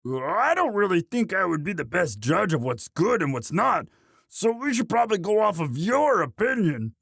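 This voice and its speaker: A man voicing a bashful, devoted himbo orc boyfriend in a romantic audio roleplay, halting and stammering sheepishly. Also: high voice, very animated, creaky, fairly bright tone, husky, no echo, clean studio sound, full character voice. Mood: sheepish, eager.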